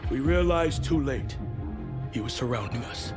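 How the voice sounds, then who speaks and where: Grave covert unit leader, played by a man; measured, clipped, under a loud score. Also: mid-range voice, expressive, music in the background, lightly acted. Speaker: military commander. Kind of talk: video game cutscene acting.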